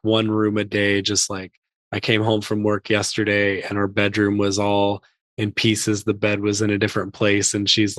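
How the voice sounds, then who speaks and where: A man co-hosting a podcast in casual chat, his voice hoarse and gravelly and cracking after an illness, rambling with many fillers. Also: fairly low pitch, a bit bright, no room sound. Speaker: podcast co-host.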